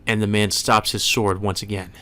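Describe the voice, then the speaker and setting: Low-voiced man narrating a visual novel. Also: level tone, moderate pace, pushed and bright, slight background noise. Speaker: let's play host. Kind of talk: scripted narration, reading a visual novel aloud. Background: faint music.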